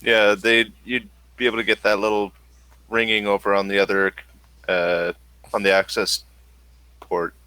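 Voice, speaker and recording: A man running a tabletop game, narrating in a halting way as he thinks aloud. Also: lowish voice, flat delivery, sharp tone, dry recording, low-quality recording. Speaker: game master.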